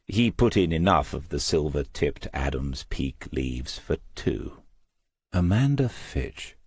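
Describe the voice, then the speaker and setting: A man with an extremely low, husky voice reads crime fiction aloud. His storytelling is measured and reflective. The sound is dry and close, with no sense of a room around him. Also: darkish tone, no room sound. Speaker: audiobook narrator. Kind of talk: scripted crime novel narration.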